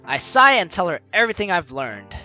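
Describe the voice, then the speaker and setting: A man's voice: high-pitched, big pitch swings, a little fast, sharp tone, faint music behind. A man hosting a let's play, expressively reading a story game's text aloud and reacting with enthusiasm. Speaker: gaming video host.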